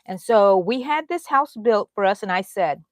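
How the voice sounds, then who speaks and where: A woman's voice: mid-range voice, moderate pace, no echo, studio quality. Chatty woman hosting a live stream. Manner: chatty and animated, swinging from loud to soft whispers. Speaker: crochet channel host. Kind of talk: casual live-stream chat.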